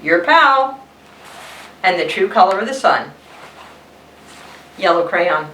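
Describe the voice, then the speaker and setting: Boastful storybook crayon, played by a woman; theatrical, bright delivery, recorded at a distance. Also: fairly low pitch, expressive, takes it slowly, slight background noise, heavily acted. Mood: boastful, insistent. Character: whiny, boastful yellow crayon, sure of itself. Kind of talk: children's storybook read-aloud. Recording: roomy echo, distant mic.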